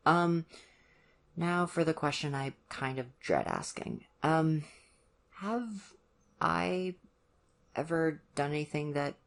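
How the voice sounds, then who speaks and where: Ditzy young boyfriend, acted, mid-pitched voice, hesitant, caring, nervous, ASMR roleplay. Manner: hesitant, gentle, awkward, many ums and pauses. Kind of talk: ASMR roleplay, one-sided conversation with the listener.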